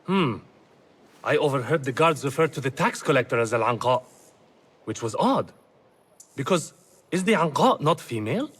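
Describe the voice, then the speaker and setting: An actor playing an anxious, courteous market trader in a video game, speaking in an earnest, formal, expressive way. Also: male voice, medium pitch, normal speed, long pauses, breathy edge. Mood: confiding, cautious.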